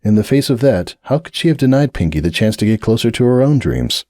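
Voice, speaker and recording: A man narrating a dramatic audiobook reading with expressive, measured storytelling. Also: medium pitch, no room sound, studio quality.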